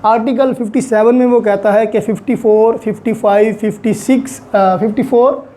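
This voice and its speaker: Female law lecturer, Pakistani accent, very mellow dark tone, methodical and repetitive explanatory delivery. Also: fairly high pitch, steady tone, moderate pace. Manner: methodical, repeats key points in short phrases. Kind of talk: explanatory lecture reviewing legal articles.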